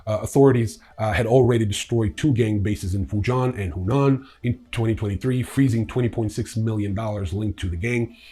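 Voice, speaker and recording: A man's voice: on the low side, brisk, few pauses, mellow, breathy edge. A man hosting a newsreel, reading scripted news hesitantly and adding casual, blunt, irreverent opinions. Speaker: newsreel host.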